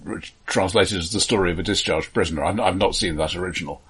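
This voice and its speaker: A man co-hosting a film podcast in casual discussion, speaking haltingly with repeated words and dry, wry humour. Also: deep voice, fairly bright tone, slightly rough.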